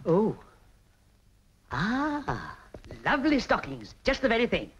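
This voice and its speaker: A bossy, patriotic British busybody in a 1940s film. She hectors briskly and emphatically, her pitch swinging about freely. The old soundtrack is slightly noisy. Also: wildly swooping pitch. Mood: cajoling, patriotic. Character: brisk bossy patriotic woman salvage campaigner, scolding nicely.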